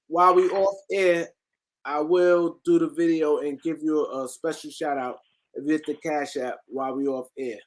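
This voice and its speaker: A man hosting a livestream in casual, informal, loosely worded chat. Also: on the high side. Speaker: livestream host.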